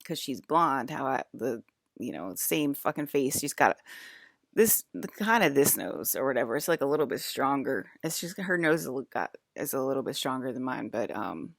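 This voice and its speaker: A woman's voice: rumbling low, expressive, normal speed, vocal fry, no echo, clean studio sound. A woman in her fifties talking straight to her viewers in a rambling, confrontational monologue, full of fillers and swearing. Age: 50s. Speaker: video blogger.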